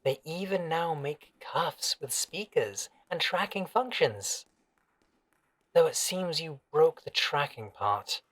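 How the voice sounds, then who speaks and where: A man's voice: on the high side, animated, slow pace, a bit bright, exaggerated voice. A man playing an obsessive, possessive servant in a scripted roleplay audio drama, coaxing and deferential yet controlling. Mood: anxious pleading.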